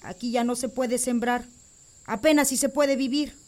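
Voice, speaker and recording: A woman's voice: on the high side, fairly even intonation, moderate pace, a little mellow, close to an everyday voice. A woman playing a worried young farm wife. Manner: worried, insistent. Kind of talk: radio drama acting.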